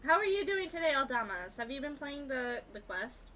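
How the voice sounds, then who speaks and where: A woman's voice: high voice, very animated, normal speed, pushed and bright, a little hiss or hum. A woman livestreamer chatting casually and informally with viewers during a gaming stream. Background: game music.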